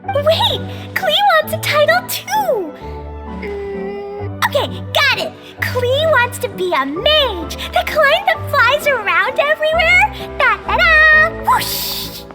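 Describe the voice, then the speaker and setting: A woman voicing an excitable, cheerful little girl in a game cutscene, talking about herself in the third person. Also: very high-pitched, slow pace, heavily acted. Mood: excited. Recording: game audio under loud music.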